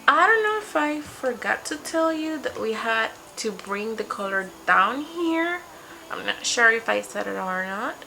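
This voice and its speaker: Young woman, Spanish first language, slow pace, gravelly fry, makeup tutorial chat, music behind. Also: on the high side, sharp tone, low-quality recording. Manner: chatty, talks through each step while applying makeup. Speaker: beauty vlogger. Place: small home room with patterned wall. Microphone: webcam framing, seated at desk.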